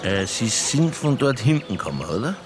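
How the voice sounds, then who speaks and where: Sardonic Bavarian detective acted by a man, animated pitch. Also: normal speed, noisy background, lightly acted. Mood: matter-of-fact, needling. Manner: offhand, colloquial, needling. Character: brusque, sardonic male Bavarian police detective, casually bending rules. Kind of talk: naturalistic radio drama acting.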